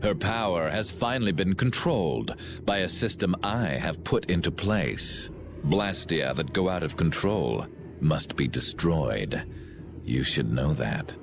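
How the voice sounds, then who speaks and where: A man voicing a cold, grandiose villain commander in a video game, speaking in a pompous, measured, theatrical way. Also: deep voice, expressive, over music. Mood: cold, imperious.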